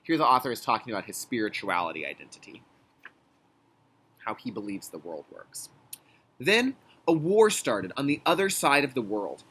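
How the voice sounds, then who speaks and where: A man with a slightly rough, husky voice speaks as a teacher to young children. His pitch moves in a very lively way as he reads aloud and explains, with deliberate, instructive wording. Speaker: kindergarten teacher.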